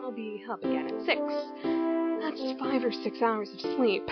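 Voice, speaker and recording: A woman playing a bored, tired teenage girl in an audio drama, speaking in a flat, resigned way. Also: fairly high pitch, unhurried, close to an everyday voice. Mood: weary. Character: bored teenage girl Riley, weary and dutiful. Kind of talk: amateur audio drama acting. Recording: muffled lo-fi recording with loud guitar music behind.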